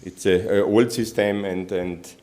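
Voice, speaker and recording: A man from Austria, a native German speaker, giving a technical conference presentation in halting English with many restarts. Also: lowish voice, steady tone, mellow. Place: conference hall.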